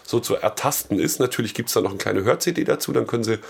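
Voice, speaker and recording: Hoarse man with a cold. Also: mid-range voice, quick pace. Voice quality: hoarse, gravelly. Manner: chatty storyteller, dry jokes. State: has a cold. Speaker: podcast co-host. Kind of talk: casual podcast chat.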